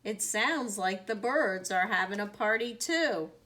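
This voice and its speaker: A woman's voice: medium pitch, animated, measured pace, pushed and bright. A woman voicing an eager, innocent, literal-minded little girl in a children's storybook, earnest and chirpy. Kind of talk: children's storybook character reading.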